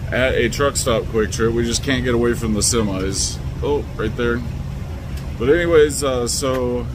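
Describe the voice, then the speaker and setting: A man in his thirties with an American accent, chatting casually to camera as a trucker vlogging on the road. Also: mid-range voice. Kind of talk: casual vlog talk to camera. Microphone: phone at arm's length. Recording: noisy outdoor and truck-cab phone recording.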